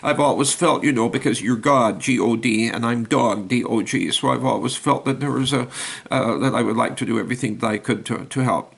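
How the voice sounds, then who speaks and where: A man's voice: mid-range voice, a little fast, creaky, slightly rough. A man voicing an eager, loyal male dog in a told folk tale, pleading earnestly.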